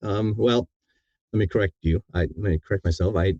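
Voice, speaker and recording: A man with a deep, dark voice chats casually as an interview guest. He rambles and corrects himself, sounding keen on what he does. The recording is dry and a little dull. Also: fairly quick, no room sound. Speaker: audiobook narrator being interviewed.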